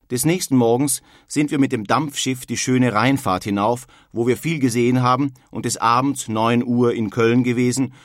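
A man's voice: medium pitch, dry recording, clean studio sound, lightly acted. An actor playing a plain-spoken German emigrant who earnestly reads his old-fashioned letter home in a radio feature. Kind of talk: dramatised reading of historical letters.

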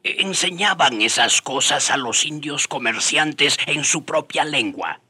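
Bright, forceful male narrator. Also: a little high, animated, unhurried, breathy edge, no echo, full character voice. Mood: storytelling. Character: storytelling narrator recalling the friars' mission. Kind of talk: scripted radio drama narration.

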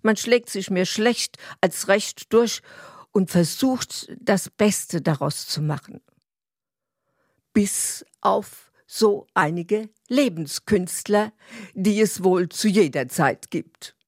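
A woman narrator giving a scripted story introduction in a measured, deliberate way. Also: medium pitch, dry recording, studio quality. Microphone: close, dry studio mic.

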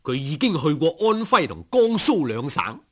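A man's voice: on the high side, wildly swooping pitch, moderate pace, a bit bright, breathy edge. A man narrating a folk tale as an oral storyteller, in a colloquial, dramatic style.

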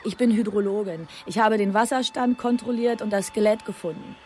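A woman's voice: mid-range voice, fairly quick, a little mellow, a little hiss or hum, close to an everyday voice. A woman playing a hydrologist reporting a grim find in a radio drama. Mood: urgent report. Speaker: hydrologist character.

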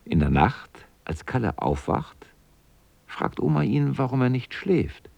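A man with a husky voice narrating a scripted children's story as the narrator of a radio drama. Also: mid-range voice, sing-song, measured pace, a little mellow, dry recording, dull audio.

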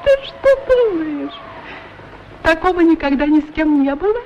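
A woman's voice: sits high, lively intonation, normal speed. A woman voicing a pair of chatty, haughty, curious lady's boots in a 1960s radio play, with a pert, demanding, questioning manner. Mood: reproachful, teasing. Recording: muffled old radio recording, loud background noise.

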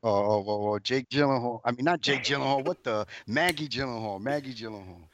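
A man's voice: medium pitch, brisk, a bit bright, muffled recording. A man chatting casually about TV shows, rambling and slangy, with some swearing.

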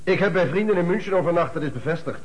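An actor playing a sporty, defiant murder suspect in a Dutch radio drama, answering with defensive retorts. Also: male voice, on the high side, animated, very fast, natural voice. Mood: guarded.

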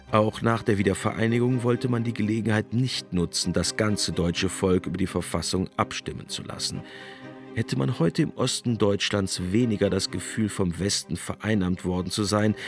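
Male commentator, lower pitch, reflective scripted narration, soft music underneath.

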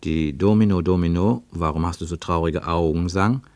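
Male narrator, lowish voice, measured descriptive radio drama reading, dry studio. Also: darkish tone, no room sound. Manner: measured, descriptive, building long lists.

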